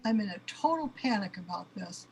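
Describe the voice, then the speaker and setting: A woman's voice: medium pitch, expressive, a little fast. A woman playing a panicky, overwhelmed avatar who longs for a real body, in an amateur audio drama. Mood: sinking, panicky. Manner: anxious, complaining, overwrought. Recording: clearly noisy background.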